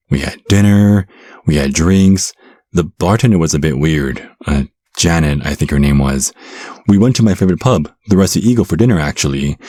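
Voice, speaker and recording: A man's voice: rumbling low, darkish tone, dry recording, clean studio sound. A man acting a giddy, rattled young man in an audio drama, sometimes rambling and stammering. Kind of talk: audio drama narration and phone dialogue.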